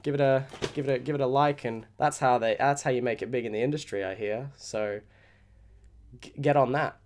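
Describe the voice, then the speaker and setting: A man's voice: mid-range voice, normal speed. A man giving an informal, slangy film review, talking conversationally as a vlogger. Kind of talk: casual opinion review to camera.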